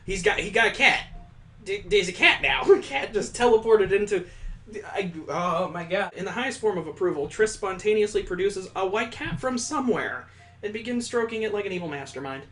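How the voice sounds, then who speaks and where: Expressive gamer reading a visual novel aloud. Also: medium pitch, moderate pace. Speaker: host of a game playthrough.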